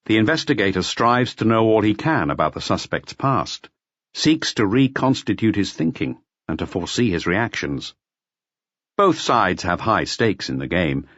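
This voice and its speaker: A man narrating a literary audiobook in a measured, expressive storytelling manner. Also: on the low side, no echo, dull audio. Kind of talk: scripted literary narration of a detective novel.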